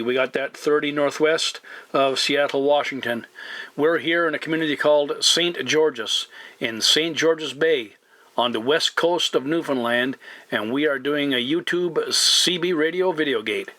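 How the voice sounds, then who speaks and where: A man's voice: fairly high pitch, a little slow, fairly bright tone. A man working as a CB radio operator and host, making formal, announcer-like contact calls with call signs, place names and phonetic spelling. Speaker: CB radio operator hosting a video channel.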